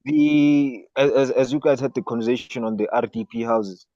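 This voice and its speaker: Male guest from South Africa, halting delivery, slightly muffled dry audio. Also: mid-range voice, steady tone, dark tone, no room sound. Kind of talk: political discussion.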